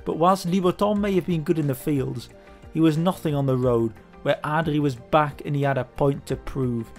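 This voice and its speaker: Guy doing a cycling history voiceover, slightly high voice, calm steady read, light music behind. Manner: steady, measured storytelling delivery. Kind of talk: scripted documentary narration. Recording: voice-over with faint background music.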